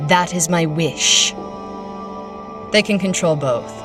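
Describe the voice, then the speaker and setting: A woman's voice: lowish voice, takes it slowly, over music. A woman playing an imperious, curt commander of an undead horde in a fantasy audio drama, heard from a distance.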